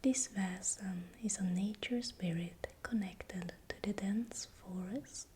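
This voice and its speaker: Slow, whispering Swedish woman. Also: mid-range voice, noisy background. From Sweden. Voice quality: breathy, unvoiced whisper. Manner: soft, deliberate, short unhurried phrases. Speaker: ASMR video creator and host. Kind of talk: whispered ASMR, explanatory narration.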